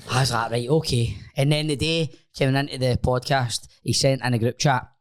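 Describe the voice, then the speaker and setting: A Scottish man co-hosting a podcast, telling a story in an animated way and acting out text messages. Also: medium pitch, normal speed. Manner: animated storyteller, quotes texts. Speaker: podcast co-host. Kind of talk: casual storytelling.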